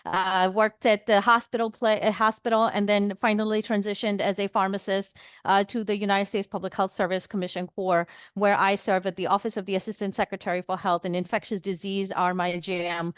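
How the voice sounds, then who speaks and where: A woman from New York, a pharmacist running for a board seat, speaking on a video call in a chatty, energetic way with many fillers and restarts. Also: medium pitch, fairly quick, clear, resonant tone, no room sound, studio quality. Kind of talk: online meeting speech.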